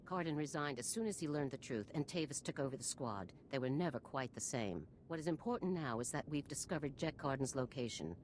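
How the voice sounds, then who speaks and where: Stern, very deep-voiced older female general. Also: lively intonation, brisk, few pauses, a little mellow, slight background noise. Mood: stern, businesslike. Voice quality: low-pitched. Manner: clipped, authoritative, formal. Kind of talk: video game voice acting.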